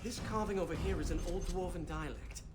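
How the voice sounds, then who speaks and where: A man's voice: high-pitched, brisk, loud music behind the voice, lightly acted. A man playing a curious, scholarly young elf mage who explains things eagerly in a video game. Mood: fascinated.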